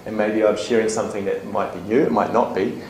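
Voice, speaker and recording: A man presents at a live conference, explaining ideas to an audience of educators in a relaxed, animated way. He keeps tossing in quick "right?" and "okay?" checks to keep the room with him. The sound is roomy and echoey, with a faint hum of ambience behind him. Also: lowish voice. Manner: animated, chatty, asks the audience questions, many "okay?" and "right?" tags.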